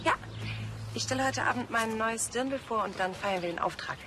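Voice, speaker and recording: A woman's voice: a little high, moderate pace, noisy background, lightly acted. An actress playing a sceptical, feisty young policewoman with a blunt, sardonic manner.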